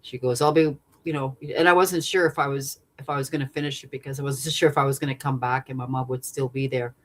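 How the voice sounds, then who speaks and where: A songwriter woman from Saskatchewan with a deep voice and a natural vibrato, chatting earnestly as a guest, rambling with fillers and restarts. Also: steady tone, fast talker. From Saskatchewan, Canada. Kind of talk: casual interview chat.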